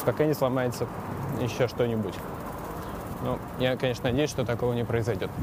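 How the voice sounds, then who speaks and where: A man talks while he cycles on a city street, stopping often to hesitate and ramble. His voice sits in a middle pitch, and loud traffic noise runs behind him the whole time. It sounds like a portable recorder carried outdoors. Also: fairly even intonation, brisk. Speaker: podcast host. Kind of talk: casual monologue while cycling outdoors.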